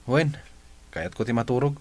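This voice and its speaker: A man's voice: mid-range voice, expressive, moderate pace, close to an everyday voice. A man acting a lazy, sleepy young husband in a radio drama, languid and grumbling, on a noisy recording.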